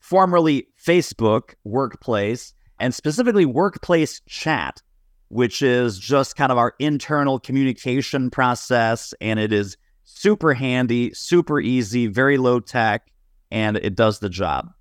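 A man with a medium-pitched voice, talking conversationally as a guest on a business panel. The recording is close and dry, free of room echo. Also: lively intonation, measured pace. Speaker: podcast guest, business author.

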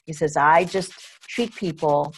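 Low-pitched woman interviewing in conversation. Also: unhurried, slight background noise. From Trinidad and Tobago. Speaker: podcast host and interviewer.

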